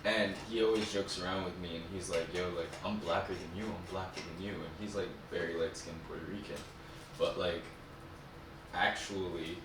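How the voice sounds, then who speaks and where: A man co-hosting a podcast, joking loudly in slangy casual talk. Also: sits low, steady tone, moderate pace. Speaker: podcast co-host. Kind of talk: casual chat. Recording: echoey room, mic far off, noisy.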